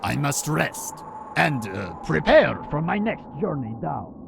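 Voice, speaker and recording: A man voicing a boastful, pompous little bug knight from a video game, with a gravelly, disdainful delivery. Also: a little high, sing-song, a little slow, noisy background, exaggerated voice. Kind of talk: video game character voice acting.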